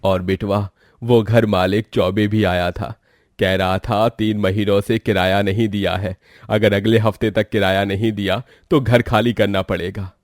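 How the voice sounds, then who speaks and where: A man's voice: on the low side, little pitch movement, measured pace, no room sound, clean studio sound, lightly acted. Man as a homely old village father. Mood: worried.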